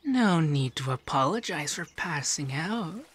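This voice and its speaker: A man voicing an affectionate, teasing femboy monster companion in a soft, breathy, shyly stammering ASMR roleplay. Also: fairly high pitch, expressive, measured pace, heavily acted. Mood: tender, reassuring.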